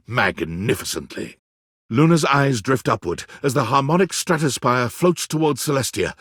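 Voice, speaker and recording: Expressive, husky man narrating a game. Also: mid-range voice, dry recording, clean studio sound. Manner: theatrical, deliberate, storybook relish. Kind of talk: dramatic scripted narration.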